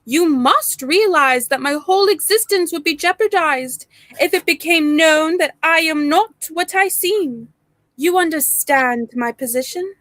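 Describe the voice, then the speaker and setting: Woman acting suave female spymaster, theatrical, menacing, bright high voice, dry close sound, old radio play. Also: no room sound. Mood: coolly menacing. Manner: theatrical, genteel, deliberate. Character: suave, menacing female professor and secret spy.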